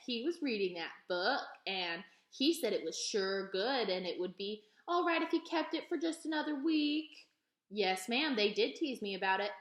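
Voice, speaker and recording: A woman's voice: fairly high pitch, a bit bright. A woman voicing a proud, folksy elderly librarian in a storybook read-aloud, speaking with emphatic repetitions. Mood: wistful. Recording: echoey room.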